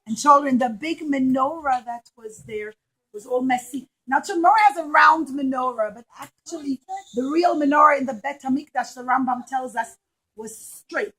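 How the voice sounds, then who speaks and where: Female children's storyteller, high voice, emphatic and repetitive delivery for a young audience. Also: fairly bright tone, slightly rough, low-quality recording. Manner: emphatic, repeats phrases for children. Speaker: children's storyteller and puppet show presenter.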